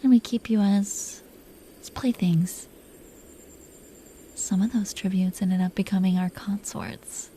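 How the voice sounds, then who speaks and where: Mellow, teasing dragon queen played by a woman. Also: mid-range voice, fairly even intonation, takes it slowly, pauses often, a little hiss or hum, natural voice. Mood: possessive, softly menacing. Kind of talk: intimate romantic roleplay audio.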